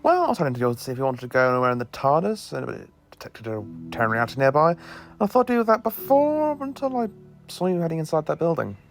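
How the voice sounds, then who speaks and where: A man's voice: on the high side, sing-song, fast, soft background music. A man playing an eccentric, kindly alien professor who travels through time, confident but given to hesitant asides in an audio drama. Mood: breezy.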